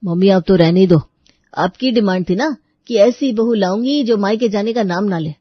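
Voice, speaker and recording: A woman's voice: mid-range voice, moderate pace, no room sound, muffled recording, lightly acted. A woman voicing a fed-up, sarcastic young married son who complains, in an acted audio story. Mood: fed up, whining.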